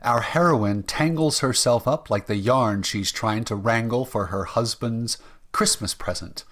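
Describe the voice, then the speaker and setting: A man's voice: mid-range voice, measured pace, fairly bright tone. A man working as an announcer, reading a scripted introduction in radio-show style.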